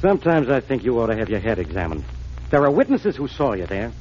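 Muffled noisy vintage radio, male actor as hard-nosed American police inspector, gruff, bossy, sarcastic, middle-pitched. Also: very animated, quick pace, darkish tone, lightly acted. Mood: sarcastic, accusing. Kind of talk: 1940s radio acting.

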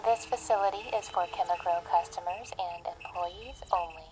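A cold automated security system, low and measured. It sounds like a filtered loudspeaker announcement in an audio drama, with music behind. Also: female voice, rough recording. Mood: cold, flat. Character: impersonal female facility security computer, cold.

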